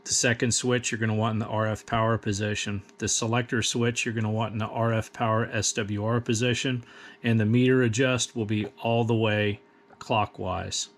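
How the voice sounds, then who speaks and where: A man's voice: lowish voice, little pitch movement, normal speed. A man giving a plain, methodical, step-by-step instructional demonstration in a matter-of-fact way. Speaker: host of radio equipment demonstration.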